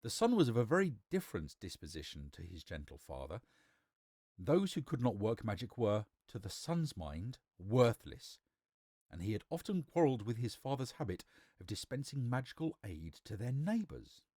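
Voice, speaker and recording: Animated male storyteller, dry sound. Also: mid-range voice, moderate pace, no echo. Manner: theatrical, emphatic. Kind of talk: dramatic storybook narration.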